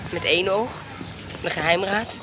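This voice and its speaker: A woman's voice: on the low side, moderate pace, close to an everyday voice. An actress playing a shrewd, resolute young woman in a period radio drama, speaking in a matter-of-fact, decisive way. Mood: brisk, determined. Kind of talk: Dutch radio drama acting. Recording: noisy ambience behind the voice.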